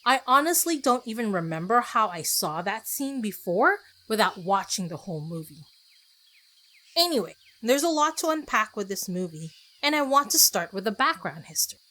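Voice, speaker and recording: A woman's voice: medium pitch, big pitch swings, moderate pace, pushed and bright. A woman podcast host narrating a casual scripted solo episode in a chatty, self-deprecating way with conversational asides.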